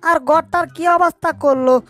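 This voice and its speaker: A high cartoon voice for a small, clever male mouse performed by a woman. He frets aloud and exclaims anxiously as he schemes, with faint music underneath. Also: steady tone, normal speed, a bit bright. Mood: dismayed. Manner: exclamatory, fretting to himself. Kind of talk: Bengali cartoon dub acting.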